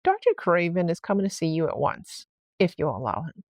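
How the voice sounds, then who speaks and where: Give the storybook housekeeper as a woman reader acts her out a flustered, deferential manner. She explains things hesitantly and respectfully, puzzled and anxious. The voice has a creaky edge and sits close and dry. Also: on the low side, animated, fairly quick, no echo. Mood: worried, deferential. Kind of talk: storybook read-aloud character voice.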